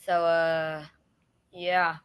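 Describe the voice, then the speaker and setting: A speaker from Taiwan practicing English on a video call, hesitant and full of fillers. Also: male voice, a little high, monotone, extremely slow, fairly bright tone, clear, resonant tone. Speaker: English learner. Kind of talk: casual conversation.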